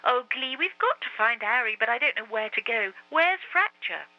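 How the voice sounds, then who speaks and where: Storybook boy hero, earnest and anxious, put on by the reader; high, lively; dull lo-fi recording. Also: a little slow, vocal fry, exaggerated voice. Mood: tearful. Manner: eager, pleading, high and lively.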